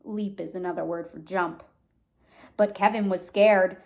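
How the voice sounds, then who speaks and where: A woman reading a storybook expressively to young children, pausing to explain simply and ask questions. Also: fairly low pitch, steady tone, gravelly, darkish tone. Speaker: read-aloud teacher for young children.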